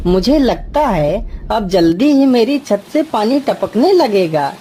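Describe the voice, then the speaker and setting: A woman's voice: medium pitch, sing-song, slow pace, mellow, slight background noise. A woman voicing a poor, easily frightened village farmer who laments with repeated exclamations, in a children's cartoon story. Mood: worried, lamenting.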